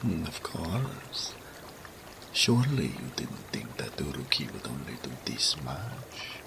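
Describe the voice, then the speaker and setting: A man playing a seductive, possessive and doting male naga in an intimate romantic audio roleplay, speaking slowly in soft murmurs that drop into whispers. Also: very deep voice, big pitch swings, gravelly, background noise, full character voice. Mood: seductive, soothing. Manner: slow, third-person speech, soft murmurs dropping to whispers.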